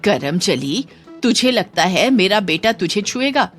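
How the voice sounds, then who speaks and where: A woman's voice: mid-range voice, big pitch swings, a little slow, a bit bright, faint music behind. A woman voicing a scornful, haughty older village mother-in-law, bossy and sneering, in a moral story. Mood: scolding, scornful. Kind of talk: Hindi moral story dramatization.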